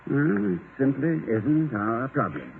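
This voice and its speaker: An actor playing an erudite, flustered professor husband in 1950s radio comedy, wordy and stammering with exasperated politeness. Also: male voice, mid-range voice, big pitch swings, measured pace, creaky. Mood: flustered, harried. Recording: tinny, noisy 1950 radio recording.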